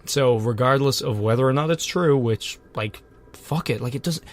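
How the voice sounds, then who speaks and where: A man's voice: mid-range voice, normal speed. A young man co-hosting a podcast, riffing in casual, profane, joking chat from a small closet. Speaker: podcast co-host.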